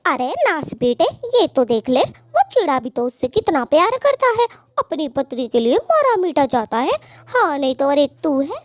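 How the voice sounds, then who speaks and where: Woman doing a bossy quarrelsome cartoon bird wife, super high voice, close dry sound. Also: sing-song, normal speed, hardly any pauses, dry recording. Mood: indignant. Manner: scolding, threatening. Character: quarrelsome, scheming female bird wife, sharp-tongued. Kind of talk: Hindi cartoon voice acting.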